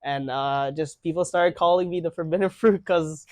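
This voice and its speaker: A man's voice: fairly high pitch, normal speed, no room sound. A young man chatting casually with friends as podcast host, rambling through stories and laughing often. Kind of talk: casual chat among friends.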